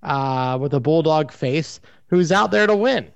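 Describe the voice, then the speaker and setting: A man's voice: on the high side, unhurried, gravelly, lo-fi sound. A man from Maryland with a light Baltimore accent, co-hosting a casual podcast and setting up edgy jokes with a straight face. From Maryland, USA. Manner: deadpan, provocative jokes. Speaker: podcast co-host. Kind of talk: casual chat.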